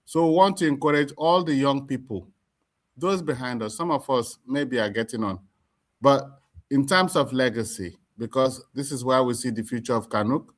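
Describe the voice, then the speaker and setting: A middle-aged Nigerian man with a Nigerian accent, speaking as an interview guest in a deliberate, emphatic way, repeating words as he forms his points. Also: mid-range voice. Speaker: community association chairman, interview guest. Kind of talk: explanatory interview talk.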